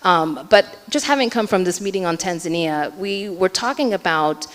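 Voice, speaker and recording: Keynote speaker, woman with a low voice, earnest, filler-heavy delivery, in a hall with long reverb. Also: lively intonation, normal speed, fairly bright tone. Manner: earnest, hedging with frequent fillers. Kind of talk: conference panel answer. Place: conference hall.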